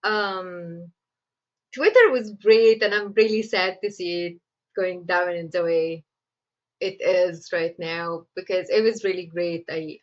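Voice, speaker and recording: A woman with an Egyptian accent talks freely about writing in a relaxed interview. She fills her speech with "like" and breaks into laughter often. The recording sounds a bit muffled. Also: on the low side. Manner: chatty, laughs often, lots of "like" fillers. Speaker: screenwriter guest. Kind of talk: casual interview answers.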